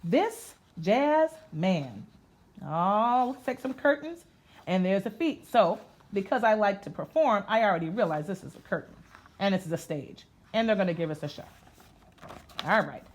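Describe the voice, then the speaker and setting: A woman reading aloud to children in an expressive, sing-song way, talking to them directly as she goes. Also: medium pitch, normal speed. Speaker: children's story-time reader. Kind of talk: interactive read-aloud to children, part sung.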